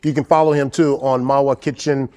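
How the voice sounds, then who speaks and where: A man co-hosting a talk show, chatting in a relaxed way and asking questions, often repeating words as he begins a sentence. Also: medium pitch, a little fast. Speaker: podcast co-host. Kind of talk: casual chat.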